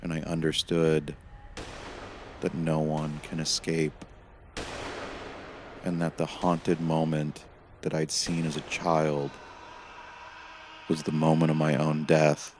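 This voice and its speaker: A man playing a weary, haunted time-traveling prisoner who narrates his memories in a measured, somber, reflective way, as in an audio play. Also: a bass voice, monotone, noisy background. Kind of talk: scripted first-person dramatic narration.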